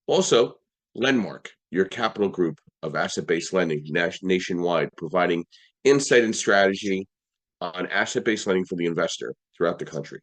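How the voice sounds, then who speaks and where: Hoarse male podcast host, low voice. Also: animated, moderate pace. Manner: upbeat, list-like delivery with restarts. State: slightly hoarse. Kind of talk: hosting a live audio room.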